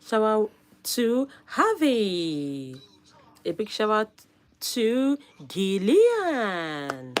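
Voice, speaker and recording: A woman hosting a casual live stream, slightly hoarse from a cold, upbeat and calling out viewers' names with frequent laughs. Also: medium pitch, wildly swooping pitch, extremely slow, slight background noise.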